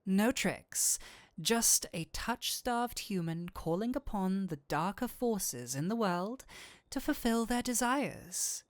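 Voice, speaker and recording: A man acts out a nerdy male incubus demon in an intimate audio roleplay. He speaks slowly and theatrically, teasing and coaxing with a kindly streak. The sound is dry, with no room around the voice. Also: high-pitched, expressive, no echo. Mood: seductive, teasing. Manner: coaxing, playful, theatrical switches between seductive and flustered.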